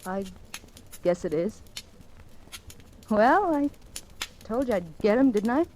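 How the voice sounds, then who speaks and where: An actress playing a seductive, scheming wife in a mid-century radio thriller, with theatrical, hesitant delivery. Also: female voice, mid-range voice, sing-song, takes it slowly, warm tone. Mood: cold, triumphant. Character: seductive, scheming wife entrapping a murderer to save her husband. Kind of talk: 1940s-50s radio thriller acting. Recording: noisy vintage radio drama recording with clicks.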